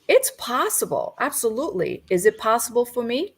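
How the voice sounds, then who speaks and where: A woman in her fifties, a coach, giving a gentle, reflective motivational talk over a video call. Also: on the high side, sing-song, moderate pace, gravelly, breathy edge. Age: 50s.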